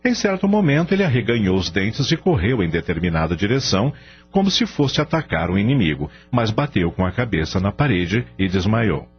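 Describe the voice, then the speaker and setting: Male storyteller for radio drama, lively intonation, slightly muffled sound with music underneath. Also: on the low side, fairly quick. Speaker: narrator. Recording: dull radio-like audio, music behind.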